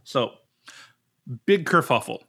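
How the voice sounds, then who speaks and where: A pastor from the States talks through a Bible passage on his podcast, easygoing and animated. His voice sits at an ordinary middle pitch, but he keeps jumping higher for emphasis and tripping over restarts as he thinks aloud. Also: slow pace, gravelly, husky. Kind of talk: casual Bible study chat.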